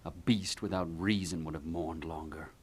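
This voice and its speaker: A man's voice: low-pitched, fairly even intonation, normal speed, breathy edge. A man playing a grieving, bitter young prince in theatrical Shakespearean style, speaking in a mournful, declamatory way. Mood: bitter grief. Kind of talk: theatrical Shakespearean stage acting for puppet show.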